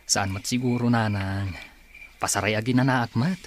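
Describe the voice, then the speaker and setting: A man's voice: lowish voice, fairly even intonation, normal speed, lightly acted. A man playing a humble, grateful blind singer in an audio drama, speaking politely and earnestly. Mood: respectful, reassuring. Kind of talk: Ilocano audio drama acting. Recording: faintly noisy track with cricket sounds.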